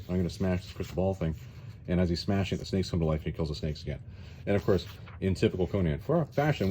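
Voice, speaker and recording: A man's voice: sits low, mellow, slight background noise. A man giving a casual, rambling comic book review in a wry, hesitant way, often repeating his words.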